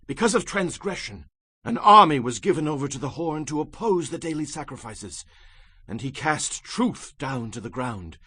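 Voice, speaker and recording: An actor playing the prophet Daniel, solemnly and emphatically recounting his visions in a dramatized scripture reading, with a slightly husky voice. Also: fairly high pitch, a bit bright, no echo, full character voice. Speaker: Bible narrator.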